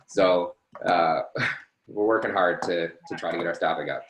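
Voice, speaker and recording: A man's voice: deep voice, a little slow, fairly bright tone, slight background noise. A man talking casually as a panelist on a webinar. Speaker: air traffic controller, panelist. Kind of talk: casual unscripted panel answers. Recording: roomy echo on webinar call.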